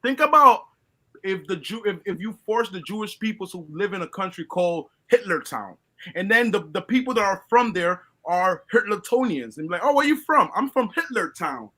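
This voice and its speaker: A man from Colombia speaks in a high voice with a bright, forceful edge. He is a guest on a live stream chat and talks in an earnest, lecturing way, tripping over his restarts as he pushes through. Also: expressive, moderate pace. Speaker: guest caller. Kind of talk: casual opinion talk.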